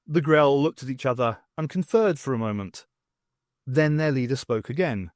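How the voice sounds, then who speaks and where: A man narrating scripted fiction for an audiobook in an expressive, theatrical storytelling manner. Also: mid-range voice, normal speed, dry recording, clean studio sound.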